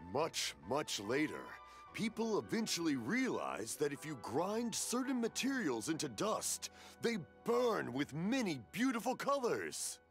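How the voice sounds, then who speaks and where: Jovial, animated old half-deaf dad. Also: male voice, on the high side, faint music behind, full character voice. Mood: musing. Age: older. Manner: bumbling, jovial, drawn-out. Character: cheerful, hard-of-hearing old father, a firework craftsman. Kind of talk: English video game voice acting.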